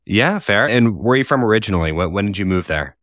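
A man's voice: on the low side, expressive, fast, fairly bright tone, dry recording, clean studio sound. A man from Colorado hosting a podcast, reading an upbeat intro and asking friendly questions. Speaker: podcast host.

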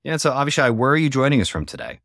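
A man's voice: medium pitch, very animated, rapid-fire, creaky, fairly bright tone, no echo, studio quality. A man hosting an interview podcast, friendly and fumbling a little with restarts as he asks questions. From Colorado. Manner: friendly, searching for words with restarts. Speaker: podcast host.

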